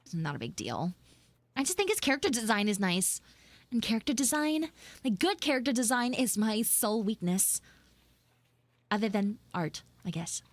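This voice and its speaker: A woman's voice: on the high side, very animated, moderate pace, no echo. A woman voicing a flustered, shyly smitten tsundere schoolgirl in a live audio roleplay, hesitant and coyly defensive.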